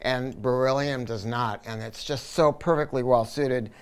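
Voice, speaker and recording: Man, medium pitch, casual technical discussion, emphatic explainer, product review presenter. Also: fairly even intonation, a little slow, clean tone.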